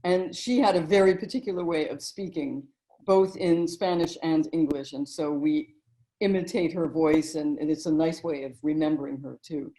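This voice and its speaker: A middle-aged woman talking in a relaxed interview, telling stories and memories as the guest. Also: deep voice, normal speed, poor audio. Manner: warm, storytelling reminiscence with frequent "you know". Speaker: guest, author and narrator.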